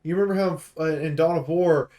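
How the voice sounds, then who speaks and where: A man with a slightly high voice reviews a video game off the cuff. He rambles in an animated, slangy way and freely offers his opinions as he plays. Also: a little fast. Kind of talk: casual off-the-cuff game commentary.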